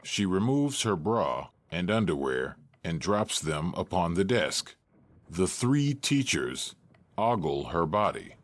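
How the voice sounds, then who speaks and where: Deep, slow male narrator. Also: big pitch swings, dry recording. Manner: detached, matter-of-fact. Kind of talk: scripted narration of stage directions.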